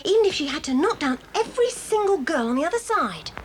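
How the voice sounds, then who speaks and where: Eager English schoolgirl, audio drama. High, bouncy intonation, emphatic and forthright, over clear background noise. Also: big pitch swings, quick pace. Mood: chatty, rueful. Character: lively, plain-spoken English boarding-school girl, eager and fair-minded. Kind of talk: children's audio drama acting.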